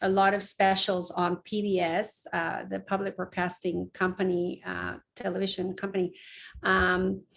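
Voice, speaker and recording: Middle-aged woman from Mexico, psychologist, relaxed lecture delivery with frequent ums and restarts, mid pitch. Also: creaky. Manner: conversational, many fillers and restarts. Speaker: psychologist giving a public talk. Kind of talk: lecture-style online talk. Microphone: online talk, close mic.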